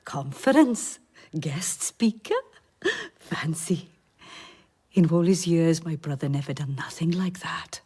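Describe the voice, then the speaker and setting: A woman's voice: sits low, takes it slowly, long pauses, dark tone, slightly rough, full character voice. An actress playing an effusive, sentimental older woman with a Welsh accent in a radio drama, lingering on her words. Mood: sentimental, coy.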